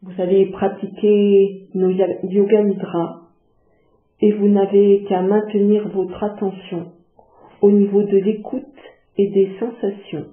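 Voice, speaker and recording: A woman leading a guided yoga relaxation, giving calm, measured instructions with long pauses. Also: mid-range voice. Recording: muffled phone-like sound, echoey, music behind.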